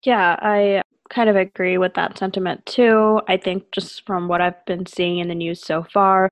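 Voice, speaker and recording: A woman's voice: on the low side, fairly even intonation, normal speed, dry recording. A woman chatting casually as a podcast co-host, peppering her talk with filler words. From born in India, moved to America at five. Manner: casual, frequent "like" and "you know". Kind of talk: casual chat.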